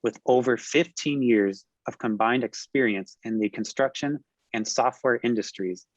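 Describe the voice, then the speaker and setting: A man hosts a business webinar, reading housekeeping announcements and a speaker introduction from a script. His delivery is smooth and even. The sound is dry and slightly muffled, as if the audio were a little dulled. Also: medium pitch, normal speed, no echo. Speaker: webinar moderator.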